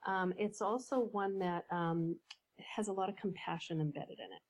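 A woman coach, a podcast guest, explaining ideas in a measured way with some fillers. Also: mid-range voice. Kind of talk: podcast interview.

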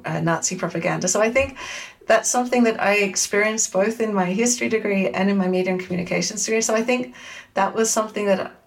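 A woman's voice: medium pitch, quick pace. An Australian woman in her forties talks as an academic interview guest. She speaks thoughtfully and carefully, hedging as she reflects. Her microphone sounds a little distant. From Sydney, Australia. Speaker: guest, media studies academic.

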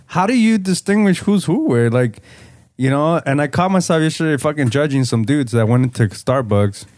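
A man's voice: a little high, animated, moderate pace, darkish tone, dry recording. A man chats and tells stories casually as a podcast co-host, swearing heavily and dropping in Spanish slang. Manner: slangy, heavy swearing, mixes in Spanish words. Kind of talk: casual chat, storytelling.

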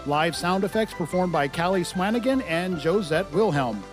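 A male credits announcer with a high-pitched voice. He reads a scripted announcement, with music clearly playing behind him. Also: animated, normal speed.

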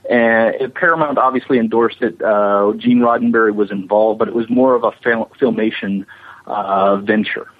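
A husky-voiced man speaks as a guest in a casual interview, explaining in a self-deprecating, anecdotal manner. His voice comes over a muffled, lo-fi connection. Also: lowish voice, steady tone, moderate pace. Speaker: interview guest, special effects animator.

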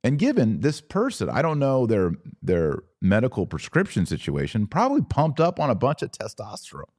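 A man delivering an opinionated podcast monologue as host, speaking emphatically with rhetorical questions and dramatic pauses. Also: mid-range voice, wildly swooping pitch, darkish tone, no echo, clean studio sound.

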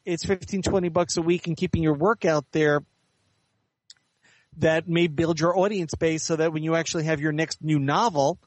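A man giving measured, articulate, explanatory answers as an author and editor being interviewed in conversation. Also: a little high, steady tone, no echo, rough recording.

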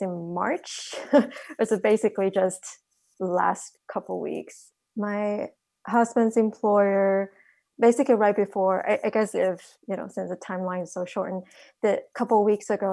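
A woman from Taiwan answering interview questions hesitantly, with many pauses and self-corrections. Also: medium pitch, creaky, dark tone, no room sound. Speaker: guest, financial planner.

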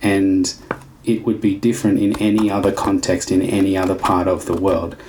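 A man with a deep, steady, fairly monotone voice talks things through slowly and carefully. His sentences wind on as he searches for the right words, and a faint noise sits under the recording. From Australia. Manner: reflective, searching for words, long winding sentences. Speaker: dance teacher. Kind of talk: thoughtful casual conversation.

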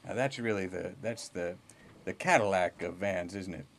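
A man's voice: low voice, normal speed, heavy background noise. A man hosting a chatty, comic podcast interview in a folksy, rambling way, often repeating words as he feels for the next one. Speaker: podcast host.

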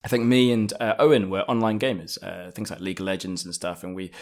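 English guy, thirties, easygoing chatter with a lower voice, lots of laughs and restarts. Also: flat delivery, quick pace, darkish tone. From England. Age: 30s. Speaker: podcast guest. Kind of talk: casual interview chat.

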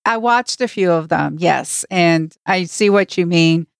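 A woman's voice: lowish voice, a little slow, creaky, clear, resonant tone, dry recording, clean studio sound. A woman co-hosting a podcast, talking in a casual discussion style. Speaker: podcast co-host.

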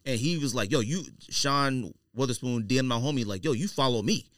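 A man's voice: mid-range voice, brisk, fairly bright tone, dry recording. An American man hosting a casual podcast debate, arguing emphatically and often cutting in. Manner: argumentative, emphatic, interrupting. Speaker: podcast host.

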